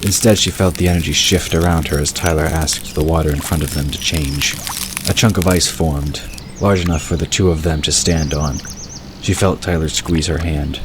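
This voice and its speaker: Male narrator, extremely deep, measured storytelling; hissy recording. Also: steady tone. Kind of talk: scripted audiobook narration. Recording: hissy recording with bird ambience.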